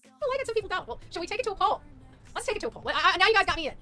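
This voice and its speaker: A woman's voice: very high-pitched, sing-song, racing, pushed and bright, soft background music. A woman hosting a livestream, giving opinionated, casual true-crime commentary in a blunt, emphatic, rambling and chatty way. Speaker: livestream host.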